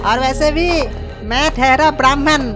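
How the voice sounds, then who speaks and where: Woman as earnest, cocky village lad in horror cartoon: extremely high, unhurried voice. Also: fairly even intonation. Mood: boastful. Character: young village man, boastful, naive son. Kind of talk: Hindi cartoon horror story acting. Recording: loud music behind the voice. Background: loud music.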